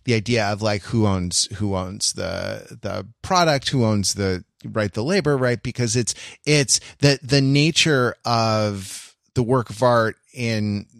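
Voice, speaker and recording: A man co-hosting a podcast, explaining his thoughts in a halting way with many restarts. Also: fairly low pitch, creaky, dry recording, studio quality. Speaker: podcast co-host. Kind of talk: casual chat.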